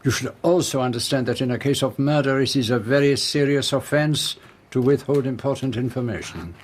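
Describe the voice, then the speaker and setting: An actor playing a shrewd, courteous middle-aged detective with a French-speaking Belgian accent, speaking formally and deliberately in a radio drama. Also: male voice, medium pitch, natural voice. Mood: firm, probing.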